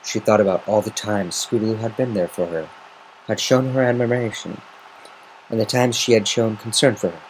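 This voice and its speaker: Low-voiced man narrating, clear background noise. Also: steady tone, normal speed. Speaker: amateur reader of fan fiction. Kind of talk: semi-dramatic story narration. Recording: noisy amateur home recording.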